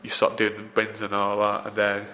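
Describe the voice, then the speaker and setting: A man's voice: lowish voice, monotone, normal speed, sharp tone. A man, a film location assistant, giving rambling interview answers full of fillers and restarts. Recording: reverberant room, faint background noise.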